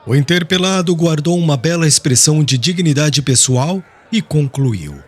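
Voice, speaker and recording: A man's voice: on the high side, lively intonation, moderate pace. A man narrating a literary audiobook in a formal storytelling manner.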